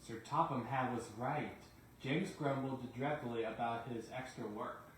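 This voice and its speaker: A man's voice: medium pitch, steady tone, measured pace, far from the mic. A man reading children's bedtime stories aloud as a narrator in a reverberant room. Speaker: storyteller. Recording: very echoey room, faint noise.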